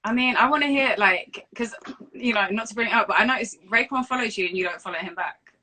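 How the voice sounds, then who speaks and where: A woman's voice: on the high side, fast, pushed and bright, a little hiss or hum, rough recording. A woman with a British accent hosting a casual, chatty music interview. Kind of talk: casual chat.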